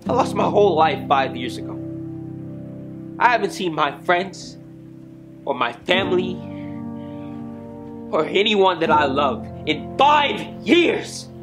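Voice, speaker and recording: A man's voice: on the high side, expressive, normal speed, long pauses, music in the background, voice pushed up, close to an everyday voice. A man playing a defiant, anguished teenage boy on the run, speaking with impassioned, defensive anger in a homemade film scene. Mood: bitter, anguished.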